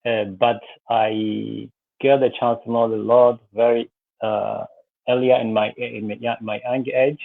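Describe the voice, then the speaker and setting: A man's voice: mid-range voice, level tone, a little slow, gravelly, dry recording. Earnest guest in his thirties from Rwanda, man, dry thin phone-like sound, restarts a lot. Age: 30s. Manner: earnest, many fillers and restarts, speaks of faith. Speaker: guest, business coach. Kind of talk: live interview, personal story. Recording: tinny phone-like line, dry.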